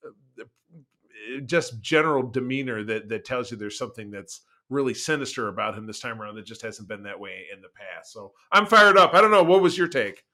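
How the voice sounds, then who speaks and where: A man co-hosting a podcast, reviewing TV in casual chat with an enthusiastic, emphatic and halting delivery that often repeats words. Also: medium pitch, animated, bright, forceful tone. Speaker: podcast co-host.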